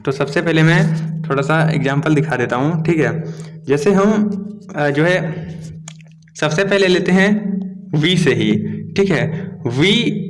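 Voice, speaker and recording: A man's voice: on the high side, unhurried. A man hosting a phone tutorial in a casual, chatty way, often checking in with "okay?". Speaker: tech tutorial host. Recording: long echo in a room.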